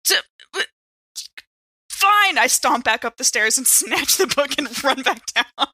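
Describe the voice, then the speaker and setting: A woman's voice: high voice, measured pace. A woman player in a tabletop game, chatting casually and playfully as she describes her character's actions. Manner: animated, playful.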